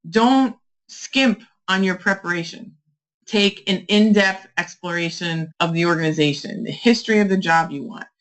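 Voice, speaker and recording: Lower-pitched woman giving instructional advice. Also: fairly bright tone, low-quality recording. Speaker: career coach podcast host.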